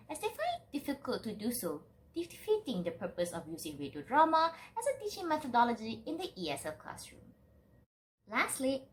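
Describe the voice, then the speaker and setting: A woman's voice: high voice, a little hiss or hum, poor audio. A young adult woman giving a scripted academic presentation in an emphatic, formal delivery, as a student presenter.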